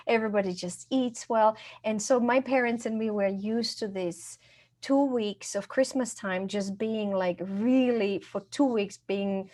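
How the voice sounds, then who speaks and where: A woman's voice: mid-range voice, a little mellow. A middle-aged woman from Croatia, chatting casually as a podcast guest and telling about her life. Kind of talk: casual conversational storytelling.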